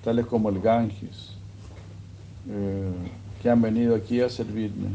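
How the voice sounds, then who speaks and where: A man giving a sermon, retelling a scripture story in a slow, measured way. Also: lowish voice, little pitch movement, creaky, mellow, highs cut off, a little hiss or hum. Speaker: religious speaker.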